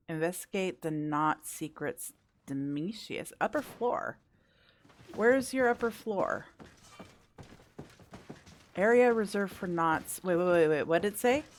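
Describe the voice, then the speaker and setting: A woman's voice: on the low side, lively intonation, a little slow, slight background noise. A woman giving casual video game playthrough commentary, thinking aloud with mild exclamations.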